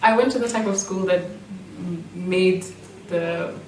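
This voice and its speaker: A woman with a Kenyan accent shaped by British schooling reflects in a group, halting as she thinks. Her voice sits on the low side, heard through room echo with a faint layer of noise. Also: a little mellow. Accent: Kenyan with British-school influence. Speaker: performer. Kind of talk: reflective personal talk in a group. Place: indoor room at an in-person group meeting. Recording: echoey room, distant mic, faint noise.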